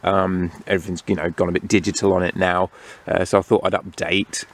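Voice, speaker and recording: A man giving a casual, unhurried hands-on product review, explaining each feature as he goes. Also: sits low, little pitch movement. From United Kingdom. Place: outdoors among greenery. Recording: clear outdoor background noise.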